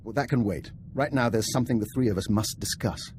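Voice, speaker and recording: A man's voice: medium pitch, a little fast, darkish tone, slight background noise, close to an everyday voice. An actor playing a shrewd older male scientist spy in a video game, formal, wry and precise. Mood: grave, precise. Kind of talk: video game cutscene acting.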